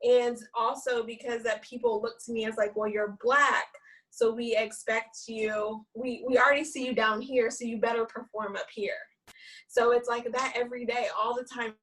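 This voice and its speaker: A woman's voice: a little high, level tone, normal speed, a bit bright, distant mic, low-quality recording. A woman who works as a therapist and yoga teacher, speaking candidly and from the heart on a discussion panel, repeating points for emphasis.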